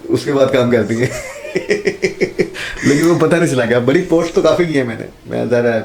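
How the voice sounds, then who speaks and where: A man's voice: medium pitch, normal speed, vocal fry. Male teacher from Pakistan, earnest and explanatory podcast guest, mid-range voice, mixes in English. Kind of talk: podcast interview answers.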